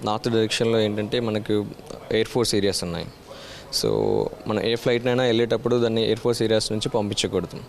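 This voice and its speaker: A man's voice: fairly low pitch, steady tone, fast. A man with an Indian accent giving explanatory interview answers as an air traffic official, often switching into English phrases.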